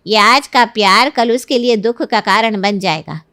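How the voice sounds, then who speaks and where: A woman playing a smooth-talking, manipulative middle-aged mother-in-law in a Hindi cartoon story, persuasive and full of feigned concern. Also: medium pitch, normal speed, pushed and bright.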